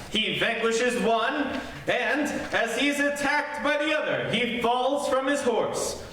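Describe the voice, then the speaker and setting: A man's voice: very high voice, sharp tone, heavily acted. A man narrating an old-time radio serial in a dramatic announcer manner. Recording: distant, very echoey hall, faint noise.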